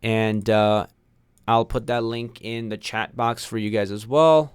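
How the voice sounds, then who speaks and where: Young male course instructor from Pakistan, smooth clear voice, easygoing livestream host. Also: on the low side, steady tone, a little slow. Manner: chatty, reads viewer names and questions aloud. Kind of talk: casual livestream Q&A.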